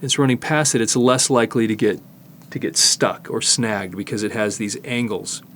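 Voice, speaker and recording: An older man giving a casual hands-on demonstration, explaining haltingly and thinking aloud as he works. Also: on the low side, steady tone, a bit bright, a little hiss or hum. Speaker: host, tape digitizing technician. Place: studio, indoors.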